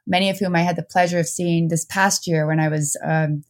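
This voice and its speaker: Brief: woman, 40s, low-ish voice, thoughtful halting guest answering from home. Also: fairly even intonation. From Netherlands and New Jersey. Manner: hesitant, many restarts and fillers. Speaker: author and anthropology professor, guest. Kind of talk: casual interview answers. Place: home, speaking remotely.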